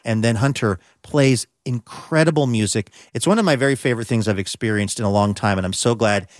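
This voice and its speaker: A man's voice: lowish voice, fairly quick, dry recording, studio quality. A middle-aged American man, presenting a radio show and interviewing guests as its host. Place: on stage at a theater.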